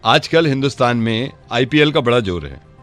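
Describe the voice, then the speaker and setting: A man's voice: mid-range voice, lively intonation, normal speed, a bit bright, faint music behind, pitched below the performer's own voice, lightly acted. A man playing a formal, insistent television news interviewer in a mock live interview. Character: pushy male TV news interviewer grilling a politician.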